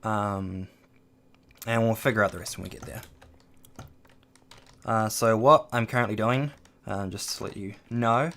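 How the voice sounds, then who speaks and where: A man's voice: lowish voice, steady tone, unhurried, creaky, pauses often, fairly bright tone. A man streaming a game, chatting casually with lots of ums and trailing asides to his chat, on a desk microphone at home. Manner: rambling, many ums, reads and answers chat.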